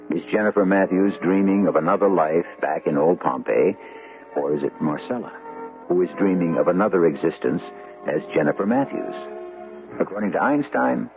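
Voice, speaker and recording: A man hosting a suspense radio drama with theatrical scripted narration, ominous, deliberate and rhetorical. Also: low voice, gravelly. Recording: muffled vintage 1970s radio, music behind.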